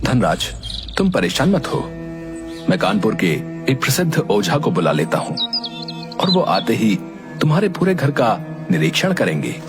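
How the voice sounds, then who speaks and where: A grave village elder from an animated tale, played by a man. His fairly low voice swings expressively through measured phrases, over clear background music. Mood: reassuring.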